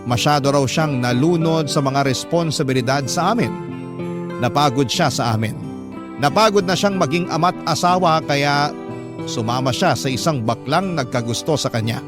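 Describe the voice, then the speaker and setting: A man's voice: on the high side, normal speed. A man with a Filipino accent reading a listener's letter as an emotive, confiding radio drama narrator. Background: guitar music.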